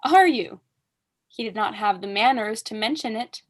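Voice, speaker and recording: Young woman playing a haughty high-voiced aristocratic lady. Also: lively intonation, normal speed. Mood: dry, witty. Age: young adult. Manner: pompous, declamatory, arch. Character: haughty, witty aristocratic mother, imperious and exasperated. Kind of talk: staged reading of a period comedy.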